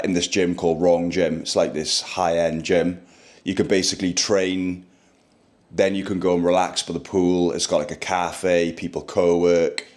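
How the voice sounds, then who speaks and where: Deep-voiced man vlogging. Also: a little mellow. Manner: chatty, earnest, talking straight to camera. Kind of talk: casual vlog monologue. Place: indoors, then outdoors by a pool.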